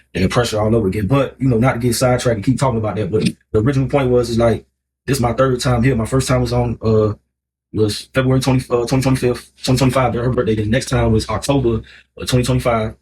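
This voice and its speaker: Fast-talking American man in his thirties. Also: medium pitch, noisy background. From Miami, United States. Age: 30s. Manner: rambling, colloquial, frequent "you know" and "like". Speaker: vlogger telling relationship story. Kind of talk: casual chat to camera.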